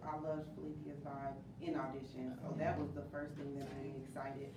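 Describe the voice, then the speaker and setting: A woman's voice: very deep voice, flat delivery, a little fast. A woman talking casually and reflectively as a cast member, earnest and emphatic, repeating words for stress. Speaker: new stage play cast member. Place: indoor room with a group. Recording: echoey room, distant mic, noisy ambience.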